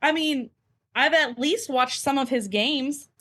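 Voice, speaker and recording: A woman's voice: sits high, a little slow, pushed and bright, slight background noise. A woman as a podcast guest, chatting casually in a candid, self-deprecating way. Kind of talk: casual chat.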